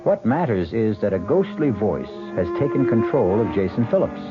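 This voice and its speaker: Wry, animated male mystery host over music. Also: lowish voice, moderate pace. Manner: wry, ominous, rhetorical. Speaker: radio drama host. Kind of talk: 1970s theatrical scripted narration. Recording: muffled vintage radio with loud music.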